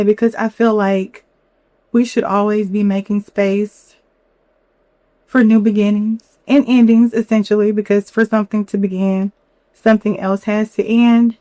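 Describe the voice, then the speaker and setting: A young woman hosting a solo podcast, a little hoarse from a cold, talking candidly with lots of "you know". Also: mid-range voice, mellow, no echo. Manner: candid, chatty, many fillers and "you know". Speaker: podcast host. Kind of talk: reflective solo podcast talk.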